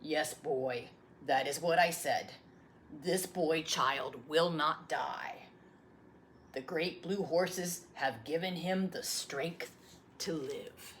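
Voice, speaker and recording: A gentle old grandfather played by a woman storyteller, low and unhurried. He sounds solemn and hushed as he tells his storybook tale, with noticeable noise behind the voice. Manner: slow, grave, hushed storytelling. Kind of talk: storybook read-aloud.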